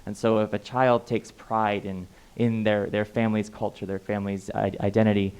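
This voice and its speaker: A man's voice: on the low side, little pitch movement, normal speed, a little mellow, clean tone. A man on a panel, a school educator talking earnestly and often repeating words as he thinks. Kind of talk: panel discussion.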